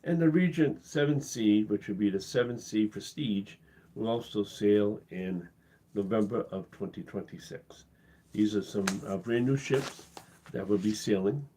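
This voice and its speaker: Casual live-stream host, man, low mellow husky voice going hoarse, halting delivery. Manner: halting, with many uh's and restarts. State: losing his voice, coughing. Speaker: cruise channel host.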